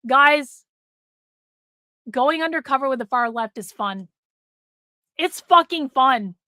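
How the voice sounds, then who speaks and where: A woman's voice: sits high, animated, slow pace, bright, forceful tone, dry recording, clean studio sound. A middle-aged woman hosting a livestream, talking bluntly to her chat, swearing freely and repeating herself for emphasis while losing her voice. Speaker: livestream host. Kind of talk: casual livestream talk to chat.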